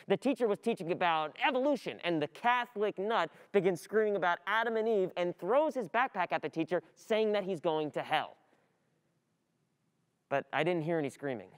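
A performer plays a distracted, frustrated student in a dramatic radio play monologue. The voice is clear and medium-pitched, agitated and repetitive, with anxious thoughts that halt and then spill out. Long hall reverb surrounds it. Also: close to an everyday voice. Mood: restless, speculating.

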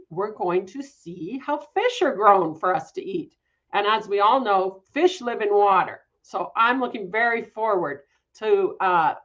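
A woman's voice: a little high, fairly bright tone, lo-fi sound. A woman in her fifties, upbeat and welcoming, hosting a live online class event from her living room over a webcam. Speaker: host. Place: home living room with large windows. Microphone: video call webcam.

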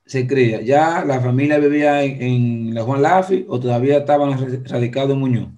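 Chatty Dominican host on a video call. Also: male voice, medium pitch, normal speed, dark tone. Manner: chatty, rambling storyteller. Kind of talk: casual interview chat. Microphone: video call, earphone mic.

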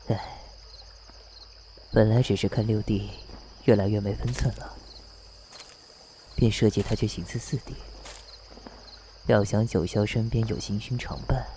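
A man playing a cunning, sardonic prince in a Chinese costume audio drama, speaking in a measured, mocking, theatrical way. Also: fairly low pitch, mellow, slight background noise.

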